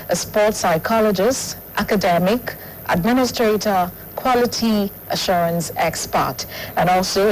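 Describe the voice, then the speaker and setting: A woman's voice: on the low side, slight background noise, rough recording. A woman with a Nigerian accent hosting a live morning show, introducing and interviewing a guest. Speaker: television show host.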